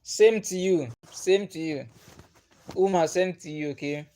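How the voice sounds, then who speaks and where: A man's voice: high-pitched, a little slow, mellow. Man chatting on a livestream. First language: Igbo. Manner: patient, repeats himself, chuckles often. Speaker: livestream host. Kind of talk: casual livestream chat reading comments.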